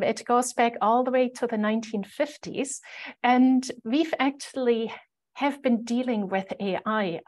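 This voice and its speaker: A woman with a German accent giving an explanatory conference presentation, measured, with hesitant pauses mid-sentence. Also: mid-range voice.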